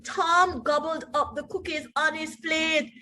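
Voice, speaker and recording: A woman teacher reading a story aloud to young children expressively, with deliberate emphasis and questions to her listeners. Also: high voice, steady tone, bright, forceful tone, slight background noise.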